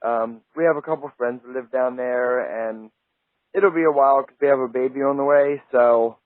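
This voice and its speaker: A man's voice: mid-range voice, normal speed, dry recording. A male radio host chatting casually with a guest, friendly and full of praise. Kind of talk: casual interview chat. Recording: tinny phone-like line, dry.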